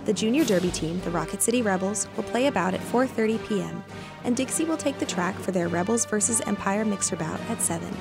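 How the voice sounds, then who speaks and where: A woman's voice: mid-range voice, brisk, gravelly. A woman radio announcer reading a scripted community events notice. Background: music bed.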